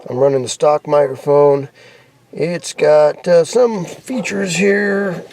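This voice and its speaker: Gravelly American man rambling casually. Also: on the high side, steady tone, takes it slowly, a little mellow. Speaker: hobbyist vlogger showing off CB radio gear. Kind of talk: casual rambling show-and-tell. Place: in or around a pickup truck. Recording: noisy handheld camera recording with clicks.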